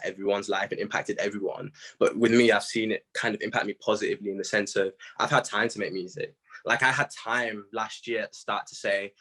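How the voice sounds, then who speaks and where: Young lad, lowish voice, chill slangy interview chat about himself. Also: male voice, fairly bright tone, breathy edge. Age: young adult. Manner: informal, slangy, conversational. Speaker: music artist being interviewed. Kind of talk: casual chat.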